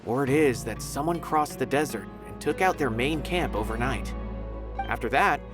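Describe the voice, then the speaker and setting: A man's voice: mid-range voice, animated, moderate pace, lightly acted. An actor playing a polite scholarly official in a game, courteous and explanatory as he recounts events. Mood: calm. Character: polite scholarly man, calm and informative. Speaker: academy official. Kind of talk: game dialogue acting. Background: game music.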